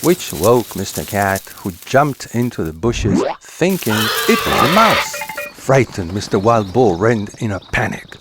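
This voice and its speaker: A man narrating a children's folktale as a scripted story, in a deliberate, expressive manner. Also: medium pitch, noisy background.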